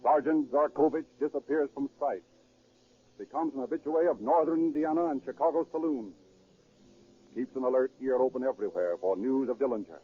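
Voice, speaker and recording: A man's voice: mid-range voice, fairly quick. A man narrating a true crime story in dramatic, scripted 1930s radio style. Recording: thin, tinny 1930s radio recording.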